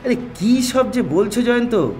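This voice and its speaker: A man's voice: very high voice, expressive, a little slow, darkish tone, faint music behind. An actor playing a detective's puzzled, sceptical male companion, questioning incredulously in an audio mystery drama.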